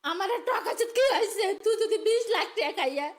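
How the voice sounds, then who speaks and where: A woman's voice: very high-pitched, expressive, fairly quick. A woman scolding indignantly.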